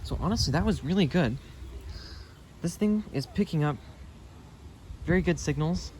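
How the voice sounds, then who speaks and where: A man's voice: on the high side, expressive, normal speed, a little mellow, a little hiss or hum. A man giving a casual, unscripted demonstration of radio gear as a hobbyist vlogger, with an enthusiastic manner.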